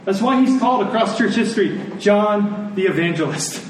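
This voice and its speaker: A man preaching a sermon to a congregation, phrasing deliberately and emphatically and repeating lines for effect. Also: sits high, expressive. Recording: echoey room, distant mic, faint noise.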